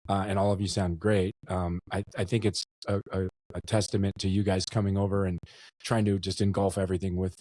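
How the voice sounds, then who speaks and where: A man's voice: lowish voice, a little mellow, no room sound. A middle-aged man hosting a casual interview podcast, enthusiastic and often repeating words as he thinks. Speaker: podcast host.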